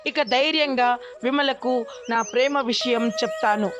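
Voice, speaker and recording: A woman voices a hopeful young man in a children's moral story. The pitch is high for the part, and light music runs underneath. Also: moderate pace, natural voice. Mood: happy, hopeful. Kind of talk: animated children's story character acting.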